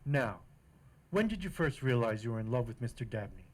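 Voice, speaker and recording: Pompous radio-comedy judge played by a man, mid-pitched, formal and officious. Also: big pitch swings, fairly quick. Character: pompous, platitude-spouting domestic court judge. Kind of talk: old-time radio comedy acting.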